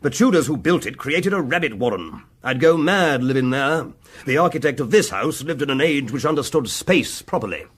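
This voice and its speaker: An actor playing a pompous, sceptical aristocrat with an upper-class English accent and a clipped, disdainful manner in a period radio drama. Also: male voice, medium pitch, expressive, normal speed, a bit bright, breathy edge. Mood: dismissive. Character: haughty, sceptical aristocratic lord of a country estate.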